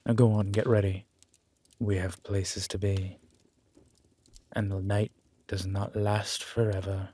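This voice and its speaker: A man playing an urbane, centuries-old vampire in an audio roleplay, with formal, measured phrasing and dry condescension. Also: on the low side, flat delivery, warm tone. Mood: smug, fond. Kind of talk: scripted audio roleplay drama.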